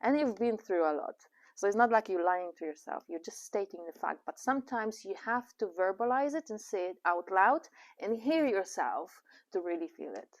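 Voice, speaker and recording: A woman's voice: mid-range voice, lively intonation, moderate pace. A woman with a Polish accent co-hosting a casual podcast, talking in an encouraging, reflective way and laughing easily. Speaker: podcast co-host.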